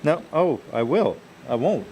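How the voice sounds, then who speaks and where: A man's voice: a little high, sing-song, measured pace, warm tone, a little hiss or hum, poor audio. A man co-hosting a live local radio show, teasing his partner in easy banter. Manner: teasing, laid-back banter. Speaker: radio co-host. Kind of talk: casual live radio chat.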